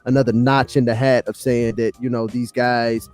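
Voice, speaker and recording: A man's voice: medium pitch, flat delivery, normal speed, darkish tone. A man co-hosting a podcast, chatting informally with hip-hop slang. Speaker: podcast co-host. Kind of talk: casual chat.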